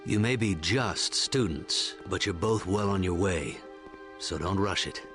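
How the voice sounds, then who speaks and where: A man's voice: deep voice, measured pace, music in the background. A man voicing a seasoned, easygoing and wry Jedi mentor in a video game. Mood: serious.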